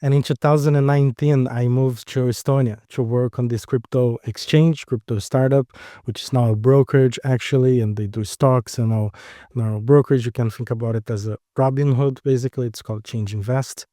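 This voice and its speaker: A man's voice: mid-range voice, steady tone, fairly quick, warm tone, no echo, clean studio sound. A man from Brazil with a Brazilian accent, a startup co-founder answering interview questions in short, explanatory phrases.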